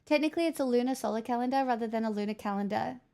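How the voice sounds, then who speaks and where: A woman's voice: on the high side, very fast, vocal fry, darkish tone, smooth. A young woman hosting a casual livestream, chatting, joking and swearing as she answers messages from chat. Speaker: livestream host reading chat.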